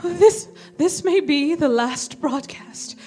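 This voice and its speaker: A woman playing a grave, frightened radio reporter giving an eyewitness bulletin in an invasion drama, halting and dramatic. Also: sits high, dark tone, faint music behind. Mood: grave, resigned. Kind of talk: radio play news bulletin acting.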